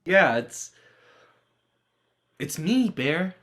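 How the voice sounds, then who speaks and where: Male actor as awkward, polite teen lead in a dark stage comedy: slower, halting, dry humor, very lively pitch. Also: exaggerated voice. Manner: hesitant, wry. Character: awkward, dryly polite, uneasy teenage boy. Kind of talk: dramatized livestream play reading.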